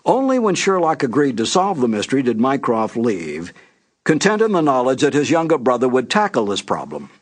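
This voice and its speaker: A man's voice: mid-range voice, wildly swooping pitch, a little fast. A man, reading scripted narration as the host introducing classic mystery broadcasts.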